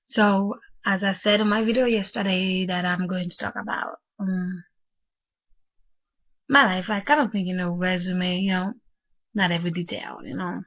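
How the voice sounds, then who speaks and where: Young woman from Haiti, vlogger, flat hesitant delivery full of fillers, muffled lo-fi sound. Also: fairly low pitch. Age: young adult. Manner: soft-spoken, halting, many fillers and restarts. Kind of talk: personal storytelling vlog. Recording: muffled lo-fi audio.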